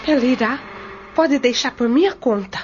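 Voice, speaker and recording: A woman's voice: high-pitched, moderate pace, background noise, lightly acted. An actress playing a worried, humbly grateful middle-aged mother in an audio drama, polite and deferential, with a Brazilian accent. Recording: muffled recording under loud music.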